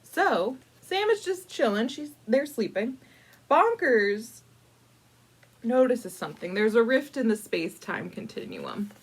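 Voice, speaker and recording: A woman hosting a casual storytime, chatty and informal, with playful, dramatic emphasis and drawn-out words as she tells a picture-book story. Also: on the high side, very animated, slightly rough. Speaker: librarian storytime host.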